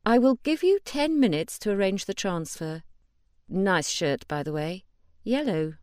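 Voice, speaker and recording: A woman's voice: mid-range voice, lively intonation, measured pace, darkish tone, clean tone, dry recording, clean studio sound, lightly acted. A woman voicing a menacing middle-aged female assassin, clipped and threatening.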